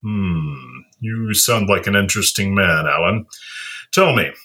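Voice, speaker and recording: A man as gruff nosy magazine man, deep. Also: expressive, unhurried, bright, forceful tone, heavily acted. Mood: gruff, curious. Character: wry, humorless, inquisitive magazine man. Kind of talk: audio play acting.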